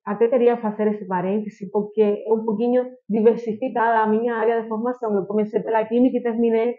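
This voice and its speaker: A woman from Cuba with a Cuban Spanish accent, a chemistry professor giving measured, explanatory answers full of small fillers as a podcast guest. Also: on the high side, flat delivery. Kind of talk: podcast interview. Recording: tinny phone-like line.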